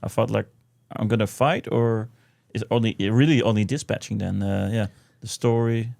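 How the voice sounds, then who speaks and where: Man, co-host, low creaky voice, laid-back, hesitant short remarks, dry sound. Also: steady tone, normal speed, darkish tone, dry recording. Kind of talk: casual chat.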